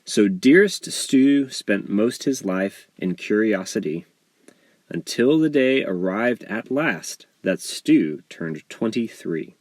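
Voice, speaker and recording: Slow, animated male storybook narrator. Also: on the low side, no room sound. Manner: expressive, measured storytelling delivery. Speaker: author reading his children's book aloud. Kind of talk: scripted storybook narration in rhyme.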